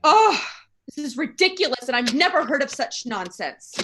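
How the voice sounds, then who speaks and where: An actress playing an anxious, demanding invalid wife in a radio play, fretful, indignant and complaining. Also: female voice, sits high, expressive, moderate pace, fairly bright tone. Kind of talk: stage radio play acting.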